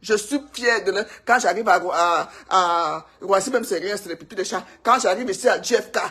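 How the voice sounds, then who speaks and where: Woman, Cameroonian French accent, husky, emphatic, repetitive, casual gossip monologue. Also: mid-range voice, normal speed. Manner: emphatic, excitable, repeats herself for effect. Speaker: vlogger.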